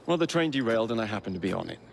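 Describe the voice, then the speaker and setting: A man's voice: on the low side, big pitch swings, racing, vocal fry, a little hiss or hum. A man in his twenties with an English accent playing a cocky, impatient Victorian assassin in a video game cutscene. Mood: impatient, flippant. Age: 20s. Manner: brash, flippant. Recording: game dialogue over music.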